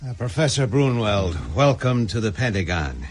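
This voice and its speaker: An actor playing a formal, businesslike government official in a radio drama. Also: male voice, medium pitch, expressive, moderate pace, very noisy, close to an everyday voice.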